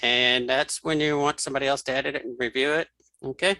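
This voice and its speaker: A man giving a casual spoken software walkthrough as an instructor, thinking aloud informally as he demonstrates. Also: medium pitch, fairly even intonation, quick pace, no room sound. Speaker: screen reader tutorial instructor.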